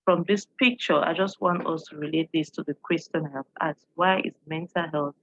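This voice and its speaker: A woman's voice: sits low, dry recording, highs cut off. A woman from Cameroon, an English teacher, giving an earnest online presentation and posing questions to her audience, with frequent fillers.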